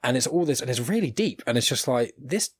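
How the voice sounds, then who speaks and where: A man's voice: mid-range voice, expressive, a little fast, husky, no echo. A man hosting a podcast, chatting casually in an enthusiastic, rambling way. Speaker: podcast host. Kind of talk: casual interview chat.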